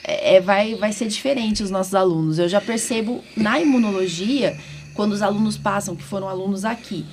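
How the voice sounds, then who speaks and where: A woman's voice: medium pitch, brisk, darkish tone. Chatty Brazilian woman, faint background noise. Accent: Brazilian Portuguese. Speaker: podcast host, allergist doctor. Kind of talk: casual podcast chat.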